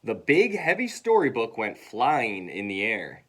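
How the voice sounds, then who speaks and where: A man reading a children's story aloud in an expressive way, acting out sounds and dialogue. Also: lowish voice, unhurried, slightly rough.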